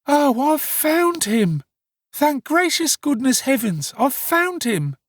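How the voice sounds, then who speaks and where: A man's voice: very high voice, very animated, takes it slowly, no room sound, clean studio sound, voice pushed up, heavily acted. A man voicing a hysterical, scheming young woman who wails melodramatically in an audiobook story. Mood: hysterical, wailing. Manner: wailing, melodramatic exclamations.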